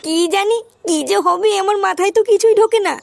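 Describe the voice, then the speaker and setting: A woman's voice: extremely high pitch, fast talker, vocal fry, slight background noise. A woman voicing an anxious young girlfriend in a comedy cartoon, pleading and fretful. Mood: worried. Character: anxious, secretive young girlfriend fearful of her brother.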